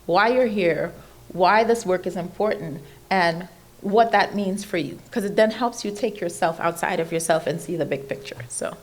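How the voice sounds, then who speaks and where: A woman from Trinidad with a Trinidadian accent, giving an animated motivational speech at an event, building emphatic lists and laughing often. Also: lowish voice, normal speed, noisy background. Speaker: keynote speaker. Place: event stage.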